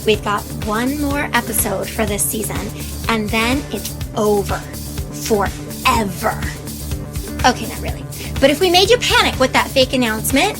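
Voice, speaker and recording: Woman, high-pitched voice, playful podcast announcer, informal outro, music behind.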